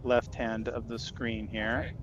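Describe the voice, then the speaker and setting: A man commentating a live card game tournament stream in a loud, projecting voice. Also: mid-range voice, little pitch movement, slow pace, lo-fi sound, crowd noise. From Southern California.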